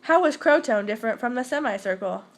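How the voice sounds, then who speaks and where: Teen girl interviewer, high pitch, reads her questions straight. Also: expressive, fast talker. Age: teenager. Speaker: interviewer in a school project. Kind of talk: reading prepared interview questions.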